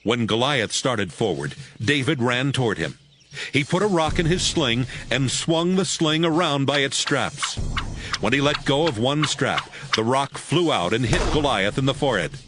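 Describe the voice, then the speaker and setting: A man's voice: mid-range voice, expressive, moderate pace, noisy background. A man narrating a dramatized audio Bible as scripted storytelling. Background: music.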